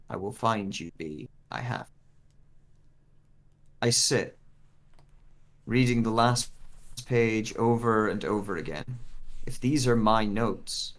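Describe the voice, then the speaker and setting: Man acting, bewildered searcher with lost memory, lowish voice, first-person audio drama narration. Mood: determined, then searching. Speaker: actor reading a script.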